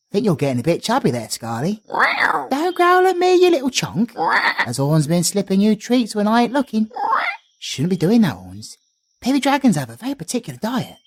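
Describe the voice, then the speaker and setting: A man's voice: high voice, slightly rough, exaggerated voice. A British man voicing a cheerful, chatty male bard in an audio roleplay, doting and slangy as he talks.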